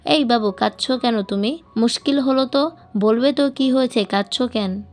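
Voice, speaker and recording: Woman acting a scolding, exasperated mother, fairly high voice. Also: moderate pace, faint music behind, lightly acted. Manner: scolding, sharp-tongued. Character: stern, exasperated young mother scolding her son.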